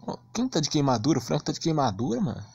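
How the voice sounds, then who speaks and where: A man's voice: fairly high pitch, big pitch swings, rapid-fire, creaky, darkish tone, breathy edge, faint music behind. A Brazilian man giving casual, slangy commentary on gameplay. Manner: slangy, informal. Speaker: gaming channel host. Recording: muffled lo-fi voice recording.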